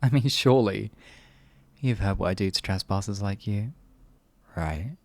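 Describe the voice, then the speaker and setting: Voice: sits low, normal speed, a little mellow. A performer voicing an evil, sadistic sorcerer in an ASMR fantasy roleplay, theatrical and condescending, stretching words and slipping into menacing whispers. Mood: mocking menace. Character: evil, sadistic, all-powerful sorcerer, gloating and cruel.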